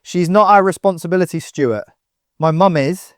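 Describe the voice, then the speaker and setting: An actor playing an anguished, desperate husband pleading with raw emotion in an audio drama. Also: male voice, high voice, normal speed, no room sound, clean studio sound, close to an everyday voice. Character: anguished, desperate husband whose mother is kidnapped.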